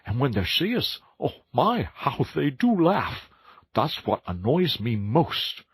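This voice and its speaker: A man's voice: medium pitch, very animated, slow pace, slightly rough, no echo, voice pushed up, heavily acted. A man voicing a frustrated, complaining male mole in a storybook reading. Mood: aggrieved.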